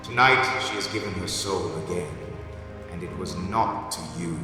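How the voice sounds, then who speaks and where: A man's voice: deep voice, moderate pace, natural voice. A man playing a brooding, taunting inner voice in an audio drama. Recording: heavy echo, long reverb, music behind.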